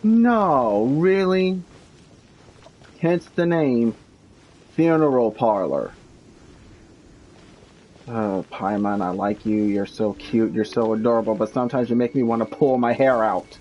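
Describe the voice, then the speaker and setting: A man's voice: mid-range voice, takes it slowly, long pauses, highs cut off, slight background noise. A man giving sarcastic, grumbling live commentary as he streams a video game. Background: game music.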